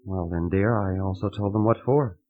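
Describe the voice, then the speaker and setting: An actor playing a meek, hen-pecked man straining to seem tough, fussy and excitable, in old radio drama style. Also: low-pitched, normal speed, lightly acted. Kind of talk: 1950s radio drama acting. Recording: muffled vintage radio broadcast.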